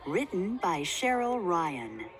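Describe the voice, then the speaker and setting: Slow, expressive woman reading to children. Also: fairly low pitch, low-quality recording. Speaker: read-aloud narrator. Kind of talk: children's book read-aloud. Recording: faint background noise.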